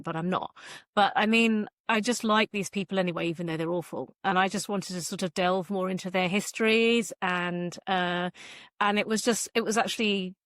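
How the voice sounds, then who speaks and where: A woman's voice: mid-range voice, a bit bright, no echo, clean studio sound. A woman being interviewed as a guest author, chatting casually with a wry, self-deprecating manner. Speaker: guest novelist.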